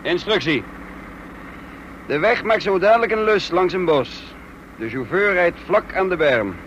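Brisk military commander, muffled noisy recording. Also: male voice, sits high, animated, fairly bright tone. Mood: brisk, commanding. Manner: clipped, authoritative orders. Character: brisk commanding male military officer leading a raid. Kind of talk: radio drama acting.

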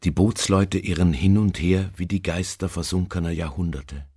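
An actor playing a haunted, doomed seafarer in a literary radio drama, speaking in a slow, brooding, solemn manner with a husky, gravelly voice. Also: male voice, fairly even intonation, warm tone, dry recording, studio quality. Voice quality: very deep, gravelly, husky, at times near whisper. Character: haunted male seafarer recounting his doom aboard a ghost ship.

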